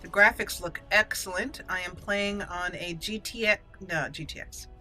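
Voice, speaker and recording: A woman's voice: fairly low pitch, normal speed, pushed and bright. A woman hosting a let's-play video, commenting casually and talking to herself and the game characters as she plays. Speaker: gaming video host. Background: game music.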